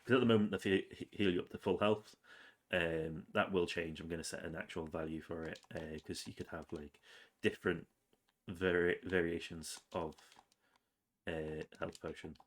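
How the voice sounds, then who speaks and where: A man's voice: deep voice, moderate pace. A man with a cold, casually narrating his game's progress over gameplay with plenty of ums and uhs. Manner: relaxed, rambling with many ums and uhs. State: has a cold, sniffly. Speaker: game developer.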